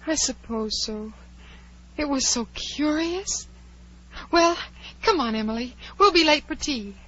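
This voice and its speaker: A woman's voice: high voice, lively intonation, unhurried, bright, forceful tone, heavily acted. A woman playing a polite but stubborn, spirited little girl in a 1940s radio play. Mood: dreamy. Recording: dull, faintly noisy 1940s radio recording.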